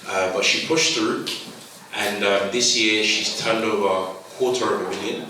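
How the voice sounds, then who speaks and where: A man's voice: on the low side, fairly even intonation, moderate pace. A man hosting a conference, encouraging the audience and stumbling over repeated words. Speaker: conference host. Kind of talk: hosting a live event. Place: large conference hall. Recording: echoey hall, far mic, crowd noise.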